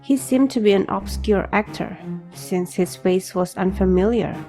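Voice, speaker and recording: A woman narrating an audio drama in the first person as an anxious young protagonist, grave, measured and introspective. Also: medium pitch, warm tone, faint music behind, natural voice. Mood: wry, uneasy. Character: anxious young reader, inwardly narrating an apocalypse.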